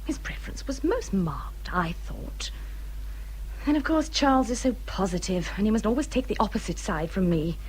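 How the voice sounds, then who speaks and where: An actress playing a peevish, self-pitying young married gentlewoman in a period drama, with an English accent and a fretful, self-important manner. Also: medium pitch, expressive, normal speed, a little hiss or hum, close to an everyday voice, low-quality recording. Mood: fussy, insistent. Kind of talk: British period radio drama acting.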